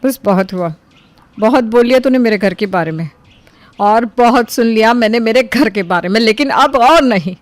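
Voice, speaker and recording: A woman playing an angry, sharp-tongued older neighbour who confronts people in a cartoon story. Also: fairly high pitch, moderate pace, no echo, lightly acted. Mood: fed up. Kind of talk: cartoon voice acting.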